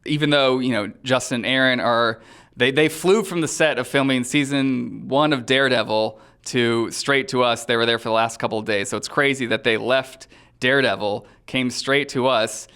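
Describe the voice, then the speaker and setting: A man with a clear, resonant voice of average pitch talks casually as a filmmaker being interviewed. He tells stories in a chatty way, voicing other people's lines as he goes. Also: steady tone, moderate pace, fairly bright tone. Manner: chatty, acts out quoted dialogue. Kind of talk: casual animated interview storytelling.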